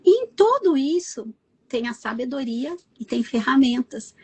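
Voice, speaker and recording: A woman hosting an online live conversation, talking in a lively way and calling on her viewers to join in. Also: high-pitched, a little slow, husky. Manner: lively, addresses the audience directly and invites participation. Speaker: host of a live stream.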